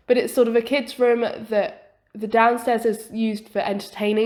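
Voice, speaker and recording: A young woman talks through her build in a relaxed, chatty way. Her voice is still slightly croaky from an illness. She pauses with small fillers and leans on the word "quite" a lot, the way a home-recorded voice-over does. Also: medium pitch. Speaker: game building youtuber. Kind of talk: voice-over commentary on a building video.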